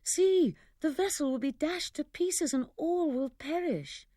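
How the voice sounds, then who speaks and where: A woman's voice: mid-range voice, big pitch swings, a little slow, dark tone, dry recording, studio quality. A woman reading the part of an innocent young girl in a storybook, in a raised, pleading voice.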